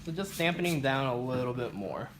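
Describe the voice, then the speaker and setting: A man's voice: medium pitch, expressive, brisk, background noise. A man teaching as a barbering instructor, explaining a practical demonstration step by step.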